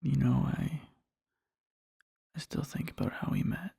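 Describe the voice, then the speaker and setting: A man playing an adoring boyfriend in a soft ASMR roleplay, murmuring slowly and tenderly to a sleeping partner in a breathy, husky whisper. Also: a little high, fairly even intonation, no echo, clean studio sound, full character voice. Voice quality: breathy, husky, with creaky fry. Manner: slow, halting, tender murmuring.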